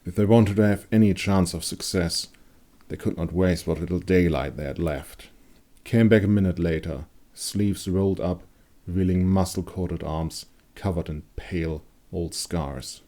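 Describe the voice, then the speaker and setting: A man narrating a dark fantasy story as a dramatic audiobook reading. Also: sits low, unhurried.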